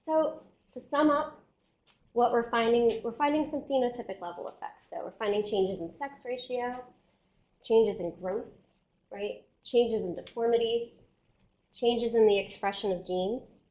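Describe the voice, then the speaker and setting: A woman scientist giving an academic seminar lecture, explaining step by step and often checking in with her listeners, while losing her voice and clearing her throat. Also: fairly high pitch, a little slow, darkish tone, poor audio.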